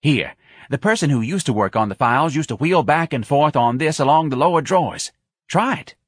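Man acting a high-pitched nervous office-manager tenor. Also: animated, a little fast, slightly rough, dry recording, clean studio sound. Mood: bright, eager. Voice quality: thin tenor. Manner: anxious, placating.

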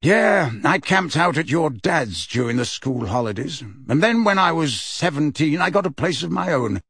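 A man voicing a sardonic, bitter adult man who speaks coldly and curtly, with a slightly husky tone. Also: a little high, lively intonation, normal speed, fairly bright tone, dry recording, studio quality, voice pushed up, full character voice. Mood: bitter recollection. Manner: cold, curt and irritable. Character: sardonic, cold adult man, estranged from his family. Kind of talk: audiobook character voice.

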